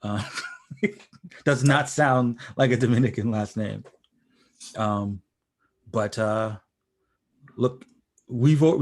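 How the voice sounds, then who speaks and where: A man hosting a conversational pop-culture podcast, speaking in a measured, deliberate way and often repeating phrases as he goes. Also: fairly low pitch, darkish tone. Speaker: podcast host.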